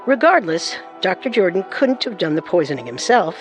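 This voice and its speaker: A woman's voice: fairly low pitch, sing-song, a little fast, soft background music. A woman co-narrating a true-crime story in a scripted, suspenseful manner.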